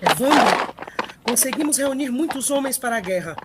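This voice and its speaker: A woman playing an adult male Trojan warrior leader, a steadfast father, in an audio drama with a husky voice. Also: a little high, animated, normal speed, heavy background noise, natural voice. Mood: earnest, reassuring.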